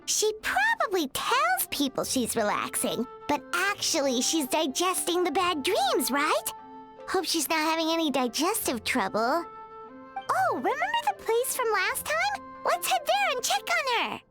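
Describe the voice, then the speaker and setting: A woman's voice: very high-pitched, wildly swooping pitch, a little slow, music in the background, exaggerated voice. A woman voicing a tiny childlike floating companion in a video game, bubbly, chatty and cutesy, full of exclamations. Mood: curious, concerned. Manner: speaks of herself in third person, exclaiming, cutesy. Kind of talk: video game character acting.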